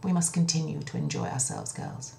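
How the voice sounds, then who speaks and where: Woman playing a bossy, animated eldest princess. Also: sits low, brisk, gravelly, heavily acted. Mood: scornful, resigned. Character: bossy eldest princess sister in a fairy tale.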